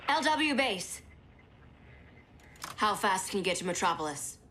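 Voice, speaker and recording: An actress playing a calm, reassuring woman scientist who runs a base. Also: mid-range voice, measured pace, very noisy. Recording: game score behind.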